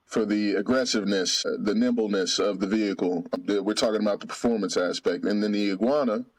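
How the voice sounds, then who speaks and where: Man, forties, born in Germany, slightly low voice, rambling with fillers, casual podcast guest. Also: fairly even intonation, a little fast, poor audio. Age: 40s. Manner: easygoing, explains at length with many fillers. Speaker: car salesman guest.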